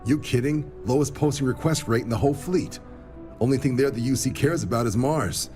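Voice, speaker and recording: An actor playing an easygoing, wry military recruiter officer in a video game, talking in a casual, colloquial way. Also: male voice, mid-range voice, a little fast, a little mellow, faint music behind, natural voice. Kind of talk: video game cutscene acting.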